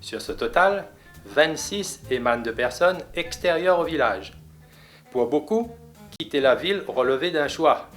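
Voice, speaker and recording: Man narrating over clear music. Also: on the high side, expressive, a little slow, a bit bright. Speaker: narrator and interviewer. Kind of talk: documentary narration and friendly questioning.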